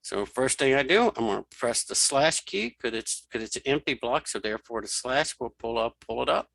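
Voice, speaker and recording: Gravelly, bright-voiced man giving a tutorial. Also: medium pitch, expressive, brisk, no room sound. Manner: informal, talks through each keypress. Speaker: screen reader tutorial instructor. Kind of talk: casual step-by-step software demonstration, thinking aloud.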